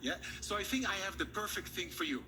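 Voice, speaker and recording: A man's voice: sits high, animated, fast, music in the background, low-quality recording. A man from Israel performing as a stage magician, speaking showy patter to an audience and a guest.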